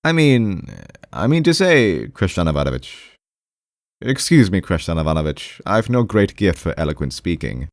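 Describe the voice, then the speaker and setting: A man's voice: low-pitched, very animated, normal speed, dry recording, clean studio sound. A man voicing a timid, defensive male clerk in an audiobook, hesitant, repetitive and half-aggrieved, with a strained, raised voice. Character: timid, defensive, rambling male clerk visiting his doctor. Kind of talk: audiobook character voice.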